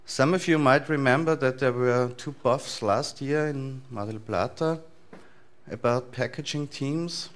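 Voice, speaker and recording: A man in his thirties with an Austrian accent, giving a relaxed, loosely structured talk to a conference audience in a large lecture hall. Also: medium pitch, unhurried. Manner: relaxed, thinking aloud with frequent fillers and restarts. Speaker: conference speaker.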